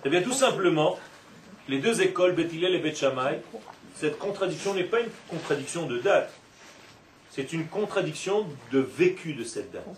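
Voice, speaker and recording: A man giving an animated Torah class with playful asides, recorded from a distance in a roomy classroom. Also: a little high, moderate pace, a little hiss or hum. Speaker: Torah teacher. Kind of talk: religious class lecture. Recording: echoey room, from far off.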